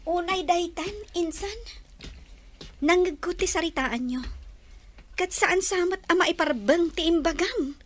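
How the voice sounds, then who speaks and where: A woman with a Filipino accent acting a gentle, apologetic young woman in a radio drama. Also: extremely high pitch, measured pace, long pauses, slight background noise. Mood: reproachful.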